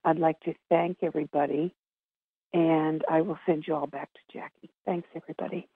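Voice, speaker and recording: A woman with a gravelly, husky voice giving an informal region-by-region report as a presenter on a conference call, over a narrow phone line. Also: sits low, fairly even intonation, brisk, noisy background. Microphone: telephone.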